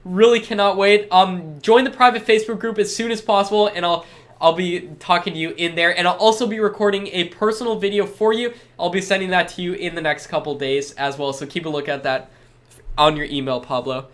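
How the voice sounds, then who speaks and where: Upbeat, higher-voiced man with a head cold. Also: steady tone, brisk, a bit bright. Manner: upbeat and reassuring, reads chat aloud then replies. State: stuffed up from a cold. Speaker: webinar host answering chat questions. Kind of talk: live webinar Q&A.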